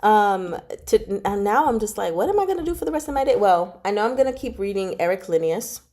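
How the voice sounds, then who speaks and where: A woman's voice: mid-range voice, expressive, fairly quick, dark tone. A woman hosting a casual livestream from home, chatting informally and giggly as she reads and answers viewer comments. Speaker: livestream host reading chapters aloud and chatting with viewers.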